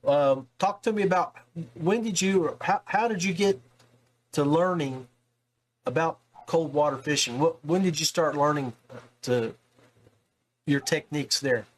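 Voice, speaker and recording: A man with a somewhat high voice hosts a casual interview. He speaks informally, circling back to restate his thoughts in a conversational way. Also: unhurried, pauses often. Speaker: podcast host, interviewer.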